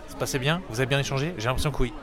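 A man hosting a school radio interview, asking quick joking questions on a ferry deck. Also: medium pitch, a crowd in the background. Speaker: student radio host. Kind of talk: casual interview.

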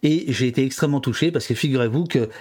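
A man's voice: mid-range voice, quick pace, darkish tone, slightly rough. A man hosting a casual online panel, talking with many fillers and restarts. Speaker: livestream host.

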